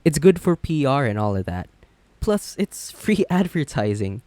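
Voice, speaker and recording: A man's voice: medium pitch, sing-song, a little slow, mellow, no room sound. A man playing a smug, flirty businessman supervillain, chatty and sardonic, in a romantic roleplay audio drama. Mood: playful, chatty. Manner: chatty, sardonic, self-assured.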